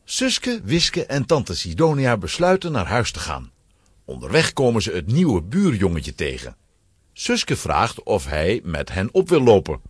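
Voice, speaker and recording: A man's voice: on the low side, wildly swooping pitch, unhurried, slightly rough, no room sound. A man narrating a children's audio drama from a script, as a storyteller.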